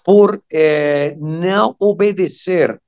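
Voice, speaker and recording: Slow, creaky Venezuelan man, halting in Portuguese. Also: high voice, darkish tone, lo-fi sound. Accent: Venezuelan Spanish. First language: Spanish. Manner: halting, searching for words in a second language. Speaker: interview guest, amateur radio operator. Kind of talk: conversational interview answers.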